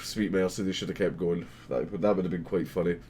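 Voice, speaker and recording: A man's voice: sits low, steady tone, brisk, noisy background. A man giving casual commentary as he reads a news article aloud, swearing freely in an amused, sardonic manner. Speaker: host.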